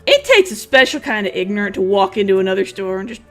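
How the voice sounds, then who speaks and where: Animated woman gamer with sarcastic bite. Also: mid-range voice, fast talker, soft background music. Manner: sarcastic, blunt, salty-mouthed. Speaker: gaming video host. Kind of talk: casual let's play commentary.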